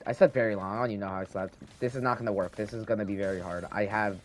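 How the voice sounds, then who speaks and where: A man's voice: lowish voice, steady tone, rapid-fire, a little hiss or hum. A teenage boy with a slight lisp, rambling and thinking aloud while he plays a video game at home, talking into a handheld microphone. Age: teens. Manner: meandering, thinking aloud, frequent restarts. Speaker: gaming channel host. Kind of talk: rambling casual talk over gameplay.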